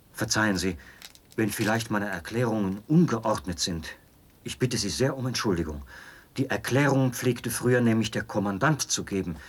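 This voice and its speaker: An actor playing a zealous young penal colony officer and judge in a German radio drama, explaining in precise, formal standard German with a husky voice. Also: male voice, lowish voice. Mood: apologetic, aggrieved.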